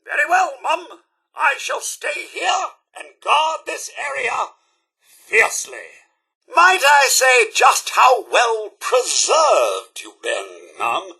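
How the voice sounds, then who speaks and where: Robot butler: British, prissy, loyal and formal game companion, high-pitched, unhurried delivery. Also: male voice, gravelly, bright, forceful tone, slightly rough, full character voice. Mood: polite, eager. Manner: theatrical, formal, polite, prissy. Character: prim, fussy, loyal male robot butler. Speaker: voice actor. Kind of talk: video game companion voice lines.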